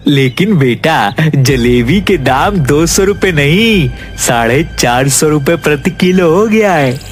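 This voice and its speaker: A man's voice: fairly high pitch, animated, slow pace, faint music behind. A man voicing a greedy, stubborn village sweet-seller and father in a cartoon, brusque and argumentative. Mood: firm. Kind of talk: Hindi cartoon moral-story voice acting.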